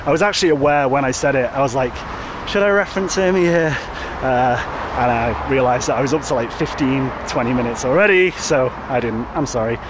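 A man's voice: medium pitch, fairly quick, hardly any pauses. A man talking casually to a handheld phone as he walks outside, rambling with lots of fillers and restarts. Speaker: vlogger. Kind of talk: casual walking vlog. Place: outdoors, walking by a road with traffic. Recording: outdoor wind and traffic noise.

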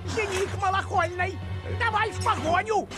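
A man's voice: very high-pitched, moderate pace. A man playing a hot-tempered, fussy tsar and father in a cartoon, theatrical and bossy. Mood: angry, commanding. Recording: loud music behind the voice.

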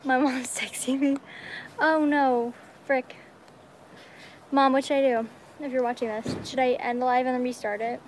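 Young American female livestreamer, high voice, bubbly casual chat, phone recording with barn noise behind. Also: moderate pace, pauses often. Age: young adult. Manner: bubbly, excitable, slangy. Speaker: livestreaming vlogger. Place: metal-walled horse stall in a barn. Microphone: phone at arm's length. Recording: noisy barn ambience, handheld phone.

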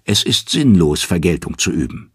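A man's voice: low voice, big pitch swings, moderate pace, slightly rough, dry recording, studio quality, full character voice. A man voicing an old, weary father of the gods in an audio saga, solemn and commanding.

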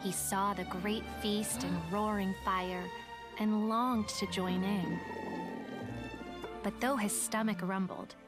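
An actress playing a gentle storybook narrator, telling an old folk tale softly and without hurry. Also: female voice, mid-range voice, fairly even intonation, lightly acted. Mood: tender. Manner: soft, unhurried storytelling. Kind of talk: scripted fairy-tale narration. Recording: music clearly behind.

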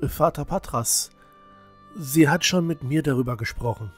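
A man's voice: a little high, moderate pace, husky, faint music behind. A man playing a modest, loyal teenage novice priest in an audio drama, earnest and a bit hesitant.

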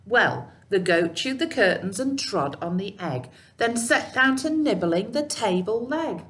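A woman's voice: medium pitch, animated, a little slow, a bit bright. A woman in her thirties reading a children's storybook aloud expressively. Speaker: teacher reading a bedtime story. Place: small room indoors. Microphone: webcam at arm's length.

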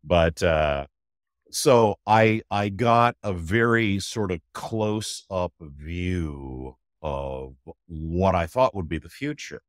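A man's voice: low-pitched, animated, takes it slowly, no room sound, clean studio sound. A middle-aged man, a guest author telling his story casually in an interview, with a wry, joking manner.

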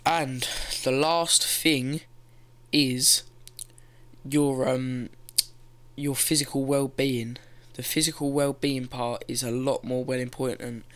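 Teenage boy, bright voice, casual rambling, swears, bedroom, headset mic. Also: mid-range voice. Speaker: fitness vlogger. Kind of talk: casual talking-head advice. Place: bedroom, indoors. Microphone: gaming headset.

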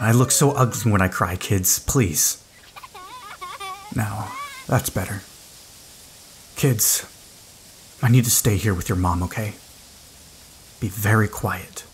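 An actor playing an anxious, gentle father and survivor in an audio drama. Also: on the low side, slight background noise.

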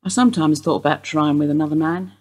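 An actress playing an older woman being questioned by police, guarded and wry in a husky voice. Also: deep voice, steady tone, fast talker, a little mellow. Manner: guarded, dry, wistful. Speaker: police interview suspect. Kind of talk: radio play acting.

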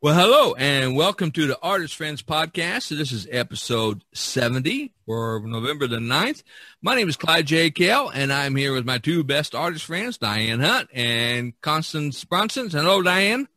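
Animated, husky-voiced man hosting. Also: a little high, unhurried, no room sound. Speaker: podcast host. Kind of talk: casual podcast chat.

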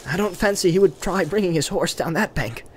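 A man voicing a loyal, plain-spoken male hobbit servant in an audiobook, speaking in a plain, steady way. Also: high voice, fairly quick, slight background noise, pitched above the performer's own voice, lightly acted. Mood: wary. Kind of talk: audiobook character voice.

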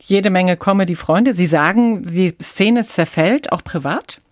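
A woman's voice: on the low side, a little slow, no echo, clean studio sound. A middle-aged woman from Germany hosting a conversational radio interview, asking probing, lightly teasing questions.

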